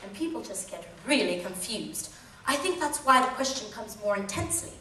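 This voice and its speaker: A woman's voice: medium pitch, lively intonation, moderate pace, bright, forceful tone. Woman, British accent, amused and well-spoken, stage testimony, distant mic, faint hiss. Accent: British English. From England. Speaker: speaker sharing a testimony. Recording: echoey hall, mic far off.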